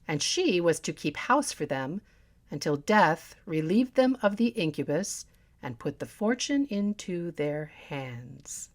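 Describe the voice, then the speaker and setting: A woman narrating a story as an audiobook reader, in a theatrical, deliberate and expressive manner. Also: sits low. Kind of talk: dramatic scripted story narration.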